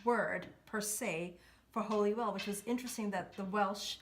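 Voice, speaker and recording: A middle-aged woman from Kuwait, chatting as an interview guest and telling stories in an animated way, often saying "right" and "like". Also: medium pitch, normal speed, slight background noise. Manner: animated storytelling, frequent "right" and "like". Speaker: interview guest, cultural consultant. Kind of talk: casual conversational interview.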